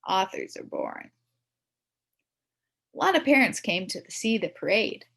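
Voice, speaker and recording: A woman reading a children's story aloud in the first person as a kid, expressively, with dramatic emphasis and drawn-out words. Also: mid-range voice, sing-song, gravelly, a bit bright. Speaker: storybook reader.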